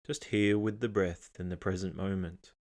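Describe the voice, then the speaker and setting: Male meditation guide, low pitch, calm and measured delivery, unhurried phrasing with room to pause.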